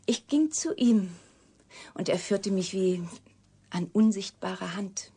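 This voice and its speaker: Breathy, guarded young woman recluse. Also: mid-range voice, animated, takes it slowly, warm tone, full character voice. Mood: confiding. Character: reclusive, wounded young woman scientist, guarded yet confiding. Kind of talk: German radio drama acting.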